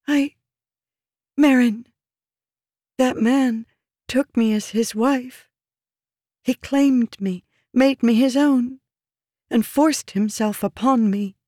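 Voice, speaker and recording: A woman's voice: on the high side, slow pace, pauses often, mellow, dry recording, studio quality, voice pushed up. A woman voicing a pleading, calculating noblewoman in an audiobook, intense and feminine. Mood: frightened pleading. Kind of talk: audiobook character dialogue.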